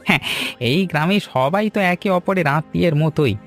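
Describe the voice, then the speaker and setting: A man's voice: on the high side, brisk, soft background music, close to an everyday voice. A man playing a kindly, reassuring older tea seller in a village cartoon. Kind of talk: cartoon voice acting.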